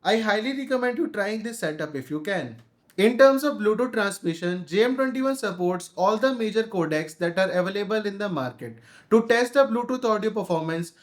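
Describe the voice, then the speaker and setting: Indian guy w a pretty high voice doing a scripted audio gear review.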